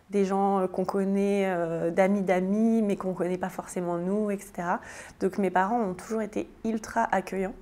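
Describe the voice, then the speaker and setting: A woman's voice: mid-range voice, a little mellow. A French woman in her thirties answering interview questions casually, with many hesitations and restarts. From France. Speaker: guest, tiny house builder.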